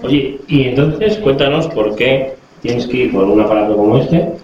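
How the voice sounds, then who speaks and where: A man's voice: mid-range voice, normal speed, crowd noise. A man in a classroom who prompts the guest with short questions. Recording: echoey, dull sound with noise.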